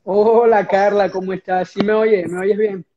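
A man's voice: high-pitched, little pitch movement, measured pace, mellow, lo-fi sound, slight background noise. A Venezuelan man in his thirties, a guest marketing director, speaking in an upbeat but hesitant way, with many fillers, over a video call. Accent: Venezuelan Spanish.

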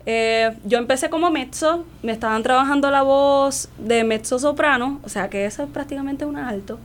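A young Puerto Rican woman with a higher voice, the music student being interviewed on a local program, recounting things with animated emphasis. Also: moderate pace. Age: young adult. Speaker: guest, classical soprano and voice student. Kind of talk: casual interview chat.

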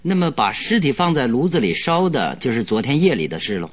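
An actor playing a shrewd, self-assured male detective who questions in a commanding, deliberate way in a radio drama. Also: mid-range voice, sing-song. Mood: pondering, probing. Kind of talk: Chinese radio drama acting.

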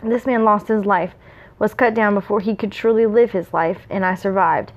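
A woman reading a storybook's young male mercenary, mournful, soft and earnest, questioning war. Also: medium pitch, fairly even intonation, moderate pace, close to an everyday voice. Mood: grave. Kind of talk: storybook read-aloud dialogue. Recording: dull lo-fi recording.

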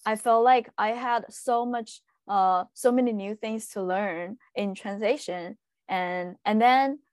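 A woman's voice: mid-range voice, a little mellow, no echo. Chinese-accented young woman, dry close sound. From China. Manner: hesitant, frequent fillers and pauses. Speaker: guest, translation student. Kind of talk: casual interview answers.

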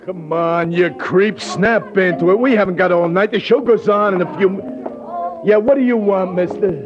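An actor playing a bossy, brusque nightclub stage manager in an old radio drama. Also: male voice, high voice, normal speed, close to an everyday voice. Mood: impatient. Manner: bossy, impatient. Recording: muffled old radio recording.

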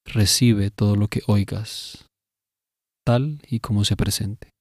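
A man narrating a scripted guided meditation in a measured, instructive way, pausing between phrases. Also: fairly low pitch, steady tone, darkish tone, no room sound, clean studio sound.

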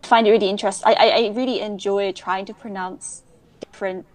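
A woman's voice: mid-range voice, fairly even intonation, fast talker, darkish tone. Young woman, interview guest, talkative and digressive, mid-range voice. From United Kingdom. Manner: chatty and digressive, many restarts. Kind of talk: casual interview chat.

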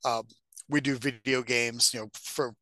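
A middle-aged man co-hosting a podcast, talking casually and haltingly, with some swearing. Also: mid-range voice, fairly even intonation, bright, forceful tone, dry recording. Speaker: podcast co-host. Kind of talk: casual discussion.

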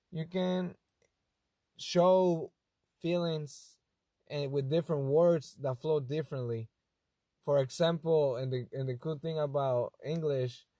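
A young man with a Spanish accent, English not his first language, hosting a casual monologue in a thoughtful, halting way that often restarts phrases. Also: fairly high pitch, dark tone, no room sound. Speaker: host.

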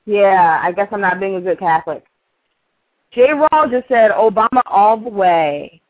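A woman's voice: mid-range voice, animated, unhurried, no room sound. A woman co-hosting a radio talk show with casual chat and banter. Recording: thin, tinny phone-line radio sound.

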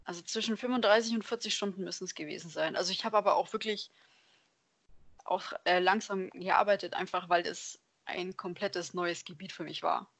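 A woman's voice: lowish voice, steady tone, a little fast, a bit bright, dry recording. A woman speaking as a podcast guest, explaining her work in a casual, conversational way. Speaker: podcast guest, hobby painter. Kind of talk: casual conversational interview answers.

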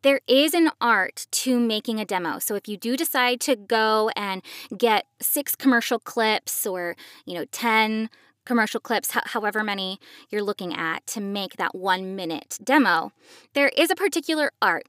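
A woman's voice: mid-range voice, expressive, moderate pace, a bit bright, no echo, studio quality. A woman hosting a podcast, explaining things to parents in a conversational way. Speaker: podcast host and voice actor.

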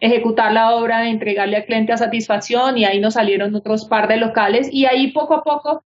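A woman's voice: a little high, fairly even intonation, brisk. A woman, an architect and business owner, answering interview questions earnestly with many fillers. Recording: dull lo-fi sound.

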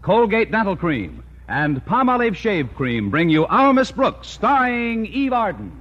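A man's voice: high voice, very animated, a little slow. A man with an American accent announcing and narrating a scripted show in mid-century radio style. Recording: dull old radio, reverberant hall, faint noise.

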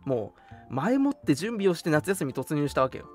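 A radio host chatting casually and telling stories in an animated, emphatic, colloquial way. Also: mid-range voice, fairly quick. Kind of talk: casual chat, storytelling. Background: background music.

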